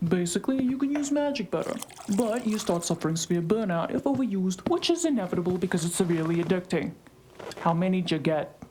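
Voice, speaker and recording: A woman's voice: on the low side, expressive, quick pace, warm tone, a little hiss or hum, close to an everyday voice, low-quality recording. Woman playing a blunt, worried sci-fi colleague. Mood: blunt, exasperated. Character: blunt, exasperated, knowledgeable female colleague, worried. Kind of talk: audio drama acting.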